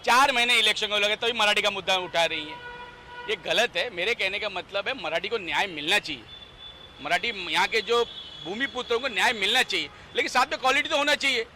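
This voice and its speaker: Man, street interviewee, firm and argumentative, bright tense tone, some traffic behind. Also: sits high, a little fast. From India. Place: outdoors on a city street.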